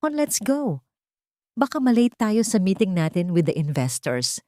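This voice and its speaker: A woman's voice: medium pitch, big pitch swings, a little slow, dark tone, no echo, clean studio sound. A woman storyteller voicing an arrogant mistress who is condescending, coaxing and possessive.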